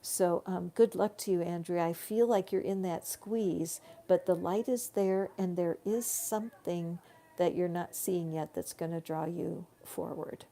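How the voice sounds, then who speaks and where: A woman giving a live tarot reading in slow, soothing spiritual guidance, her voice growing tired. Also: lowish voice, dark tone. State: tiring voice. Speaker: tarot reader.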